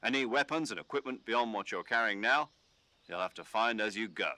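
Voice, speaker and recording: A man voicing a calm commanding officer who gives instructions over the radio in a game. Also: fairly low pitch, no room sound. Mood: steady. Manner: measured, instructive briefing tone. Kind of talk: game dialogue.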